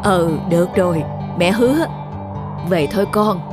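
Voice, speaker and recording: Woman doing a gentle country mom in a cartoon, soft reassuring voice, music loud behind. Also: lowish voice, unhurried, lightly acted. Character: hardworking rural mother, gentle and self-effacing. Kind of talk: animated story voice acting.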